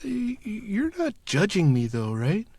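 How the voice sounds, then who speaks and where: A man plays an awkward male caller who clings to tech support in a comedy sketch. His voice is high and swings about animatedly, yet he speaks in earnest, hesitant bursts. The sound is close and dry. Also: wildly swooping pitch, measured pace, creaky, darkish tone, dry recording, full character voice. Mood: friendly, needy.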